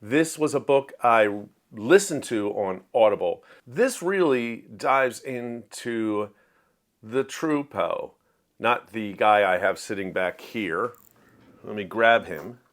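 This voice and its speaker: A man's voice: fairly low pitch, lively intonation, takes it slowly. A man hosting a casual book review, speaking to camera in a plainspoken, opinionated, conversational way. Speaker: host of a book review show.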